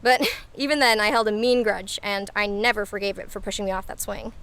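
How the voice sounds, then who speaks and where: A woman's voice: mid-range voice, a little fast, a bit bright, close to an everyday voice. Actress as candid young American local woman. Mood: candid, aggrieved. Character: young female small-town local, wary, candid. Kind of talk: naturalistic audio drama dialogue.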